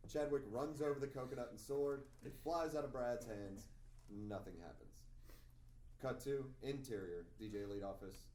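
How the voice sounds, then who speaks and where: A man's voice: mid-range voice, darkish tone. Echoey male script reader. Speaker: stage direction reader. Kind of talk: script table-read narration. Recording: echoey room, distant mic, faint noise.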